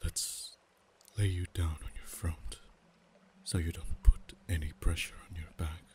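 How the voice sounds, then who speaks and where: A man voicing a protective male dragon in human form, tender yet teasing, speaking softly and often in a whisper for an ASMR roleplay. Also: low voice, level tone, unhurried, a little hiss or hum. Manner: soft, hushed, often whispered.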